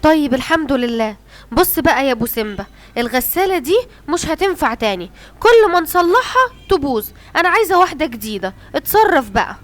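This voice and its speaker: Demanding cartoon lion mom, Egyptian accent, squeaky high, swooping delivery. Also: female voice, fast talker, a little hiss or hum. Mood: bossy. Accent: Egyptian Arabic. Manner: bossy, excitable and demanding. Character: fussy mother lioness, the king's wife.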